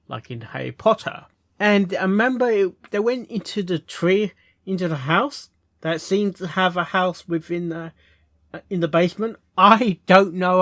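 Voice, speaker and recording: A man with a high voice gives casual, halting reaction commentary, repeating himself as he muses. His intonation jumps around, and the sound is dry with faint music. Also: fairly bright tone, no echo. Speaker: reaction video host.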